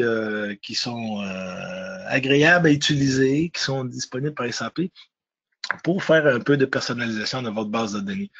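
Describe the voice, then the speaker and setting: A man with a Quebec French accent, stuffy from a cold, presenting a software webinar in a hesitant, casual way with many fillers and restarts. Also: mid-range voice, husky, lo-fi sound. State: congested with a cold.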